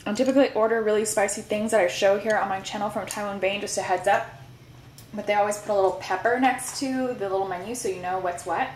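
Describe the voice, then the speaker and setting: A woman giving a relaxed, chatty food review at her kitchen counter, with some fillers. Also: medium pitch, quick pace, slight background noise. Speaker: food vlogger. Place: home kitchen counter, indoors.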